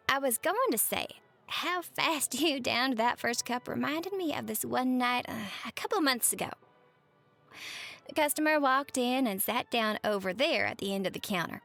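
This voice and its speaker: A woman playing a cheerful, chatty waitress on a diner night shift in a roleplay audio, with a Southern US accent and a folksy, expressive manner. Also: a little high. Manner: folksy, expressive, uses pet names. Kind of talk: roleplay monologue to the listener, chatty storytelling.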